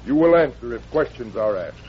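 An actor playing a stern, suspicious chief, the villain of an old radio western, speaking in terse broken English. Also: male voice, medium pitch, very animated, a little fast, breathy edge. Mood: commanding. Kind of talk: 1940s radio adventure acting. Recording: muffled old radio recording, faint noise.

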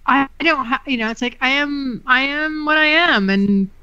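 A woman's voice: sits high, moderate pace, bright, forceful tone, lo-fi sound. A woman hosting a podcast, chatting casually and searching for her words with many fillers and restarts. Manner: hesitant, lots of fillers and restarts. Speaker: podcast host. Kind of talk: casual chat.

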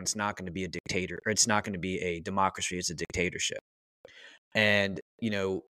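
A man's voice: low-pitched, a bit bright, no echo. A man giving opinionated, casual podcast talk as a guest, in a blunt, emphatic and colloquial way.

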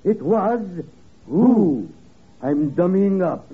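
An actor playing a pompous old college dean in a 1950s radio comedy, mournful, stagey and drawn out. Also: male voice, high voice, very animated, exaggerated voice. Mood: grave, stagey. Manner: stagey, slow, drawn-out delivery. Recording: crackly old radio broadcast, narrow band, studio audience.

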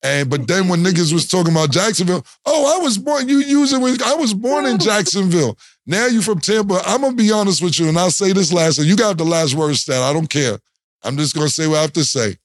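A man's voice: high voice, expressive, quick pace, no room sound. A man co-hosting a podcast in a studio, playful and teasing, with heavy slang. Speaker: podcast co-host. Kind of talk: casual chat, teasing banter.

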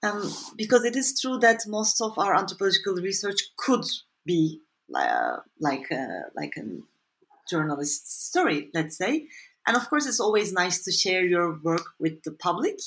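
A woman whose first language is Turkish, an author answering interview questions thoughtfully and pausing to search for words. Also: on the low side, very animated, a bit bright. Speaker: author and anthropologist guest.

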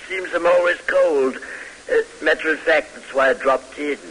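An actor playing a frail, fussy older military professor with a hesitant, pompous way of lecturing in an old radio drama. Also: male voice, on the high side, moderate pace, fairly bright tone, natural voice, poor audio. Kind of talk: 1940s-50s radio drama acting. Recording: hissy vintage radio recording.

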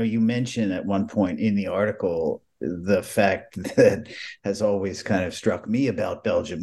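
A man's voice: low-pitched, a little mellow. A man hosting an interview, asking long, tentative questions in a conversational way. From United States. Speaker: interview host.

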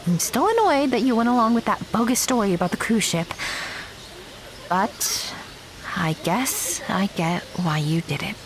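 An actress playing a guarded, blunt young woman with a wry manner and a soft heart in an audio drama. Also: on the high side, sing-song, unhurried, poor audio. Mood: exasperated, softening. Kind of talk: naturalistic audio drama dialogue.

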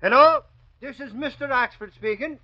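An excitable Irish newspaper reporter in a 1940s radio drama. His voice is high and bright, full of blustery, folksy boasting. The recording is dull and vintage. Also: moderate pace, full character voice. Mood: boastful, blustery.

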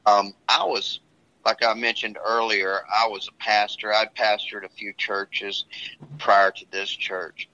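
A man who is a pastor, talking earnestly and at a measured pace about his own story, often restarting his sentences. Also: lowish voice, flat delivery, sharp tone. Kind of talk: casual talk sharing a testimony. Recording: dull lo-fi sound.

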